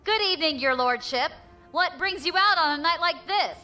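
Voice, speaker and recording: An actress playing a wry, defiant space pirate leader with a flippant, confident manner in an audio drama. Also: female voice, very high voice, lively intonation, moderate pace, pushed and bright, faint music behind, exaggerated voice.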